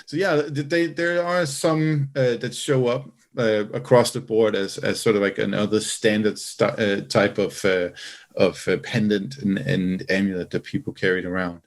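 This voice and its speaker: Male podcast host, lowish pitch, conversational explainer, carefully qualified delivery. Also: steady tone, moderate pace. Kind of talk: casual explanatory podcast talk.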